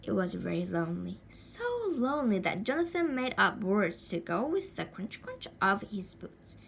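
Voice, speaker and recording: A woman reading a children's story aloud in an expressive, deliberate way with a sing-song lilt. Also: mid-range voice, vocal fry, a little hiss or hum. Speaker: storybook reader.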